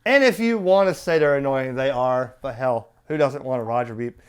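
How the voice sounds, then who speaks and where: A man's voice: medium pitch, moderate pace, slight background noise. A man presenting a casual, off-the-cuff hands-on product demo, conversational and opinionated, often tossing in rhetorical questions.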